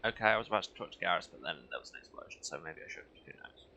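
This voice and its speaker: Low-voiced wry man commentating on a game. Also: expressive, rapid-fire, creaky, muffled recording, heavy background noise. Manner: wry, grumbling, sardonic. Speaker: video game streamer. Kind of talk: casual gameplay commentary.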